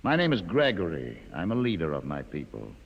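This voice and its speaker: An actor playing a calm, educated mutant leader, courteous but menacing, with measured formal speech in a 1950s radio drama. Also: male voice, low voice, creaky, husky, heavily acted. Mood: composed, proud. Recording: muffled vintage radio, long reverb.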